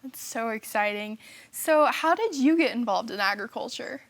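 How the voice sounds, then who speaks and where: High-voiced young woman host. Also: animated, normal speed, vocal fry. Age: young adult. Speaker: student host. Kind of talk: hosting an interview.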